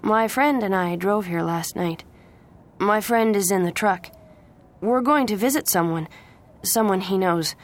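A woman's voice: mid-range voice, a little slow, close to an everyday voice. A woman voicing an earnest, plain-spoken young man in an audiobook story. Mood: earnest, smitten. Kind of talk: audiobook character voice.

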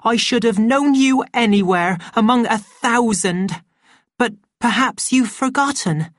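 A woman's voice: a little high, unhurried, no room sound, clean studio sound, voice pushed up, natural voice. A woman voicing a desperate, wronged middle-aged woman in an audiobook, pleading with trembling intensity. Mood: angry, pleading. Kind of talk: audiobook character acting.

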